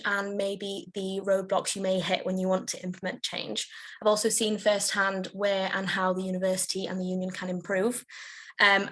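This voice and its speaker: Woman in her 20s giving a campaign intro, earnest, flat-ish tone. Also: mid-range voice. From Wales. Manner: fluent, earnest. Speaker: student officer, candidate.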